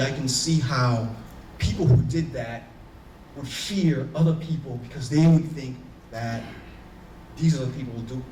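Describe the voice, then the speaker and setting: A man with a Haitian accent talks on a conference panel in an earnest, searching way, often restarting his sentences. His voice sits at an ordinary pitch. It is caught from a distance in an echoey room with a faint hum behind it. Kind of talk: reflective personal talk on a panel.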